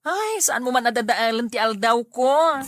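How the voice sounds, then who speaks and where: A woman's voice: a little high, animated, moderate pace, fairly bright tone, dry recording, studio quality, faint music behind. A woman with a Filipino accent playing a chatty, teasing, exclamatory friend in a radio drama. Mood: playful. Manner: exclamatory, playful banter. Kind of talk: Ilocano radio drama acting.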